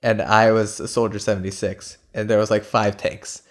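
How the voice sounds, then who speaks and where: A man's voice: fairly low pitch, level tone, moderate pace. A man co-hosting a podcast, chatting casually about games, rambling with plenty of fillers and frequent laughs, his nose a little stuffy. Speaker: podcast co-host. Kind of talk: casual gaming chat.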